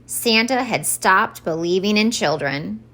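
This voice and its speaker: Animated woman reading a children's story. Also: mid-range voice, measured pace. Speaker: story time reader. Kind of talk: expressive scripted children's story narration.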